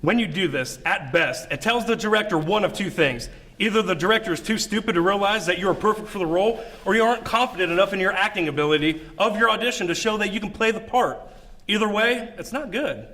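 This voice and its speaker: High-pitched man giving tips. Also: fast, pushed and bright. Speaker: presenter of acting tips. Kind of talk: scripted instructional narration.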